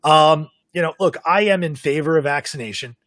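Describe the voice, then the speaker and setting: Chatty high-voiced male podcast host. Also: fairly quick, fairly bright tone, no room sound. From Canada. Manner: talkative, self-described big mouth. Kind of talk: casual live podcast chat. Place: home in South Florida.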